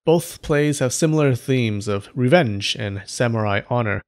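Guy narrating folktales for his channel, kinda wry n casual but dramatic, mid pitched voice. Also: lively intonation, moderate pace. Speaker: storyteller and host of an animated folktale channel. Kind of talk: scripted narration with casual humorous asides.

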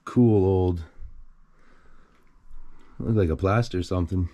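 Slow, low-voiced man, casual exploring vlog. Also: mellow. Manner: casual, talking to viewers while walking around. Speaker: host of an abandoned-places exploration channel. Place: on the move through a derelict property, RV, overgrown yard and house.

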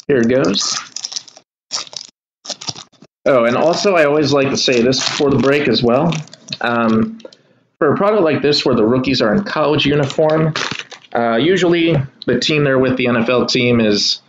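A man's voice: medium pitch, unhurried, long pauses, highs cut off, noisy background. Chatty man hosting a card break. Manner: relaxed, chatty, addresses viewers as y'all. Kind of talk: casual live card break commentary. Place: indoor room at a kitchen table.

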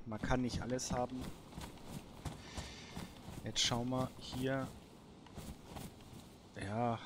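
Slow-paced man commenting on gameplay. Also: mid-range voice, background noise. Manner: thinking aloud in short, halting phrases. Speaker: host of a gameplay series. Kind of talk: casual let's play commentary.

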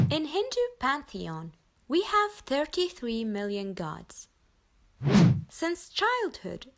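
Young woman from nepal, slow earnest reading, lively higher voice. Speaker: convert telling her story. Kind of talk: scripted personal narration.

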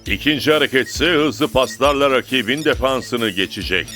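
A man's voice: medium pitch, normal speed, over music, close to an everyday voice. A man voicing a confident, commanding football coach in a dubbed cartoon, authoritative and emphatic. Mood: confident, instructing. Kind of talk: animated cartoon dub acting.

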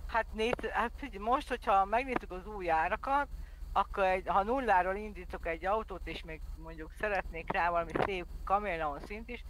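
A young woman improvising casual game roleplay as a car mechanic, playful and a little halting. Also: mid-range voice, background noise.

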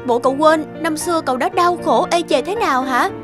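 Woman voicing a high gossipy classmate in a cartoon, spiteful and pushy, music behind. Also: fairly quick, natural voice. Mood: urging. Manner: gossipy, goading. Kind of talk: cartoon voice acting. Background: background music.

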